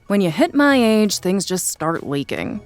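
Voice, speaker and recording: A woman's voice: medium pitch, sing-song, unhurried, faint music behind. A woman voicing a cheeky, unbothered old grandmother in a cartoon parody, wry and blasé.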